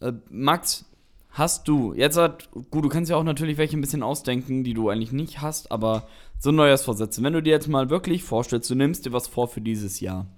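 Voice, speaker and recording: A man's voice: mid-range voice, a little fast. Playful young man co-hosting a podcast. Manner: playful, silly, jokey. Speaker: podcast co-host. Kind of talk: casual chat.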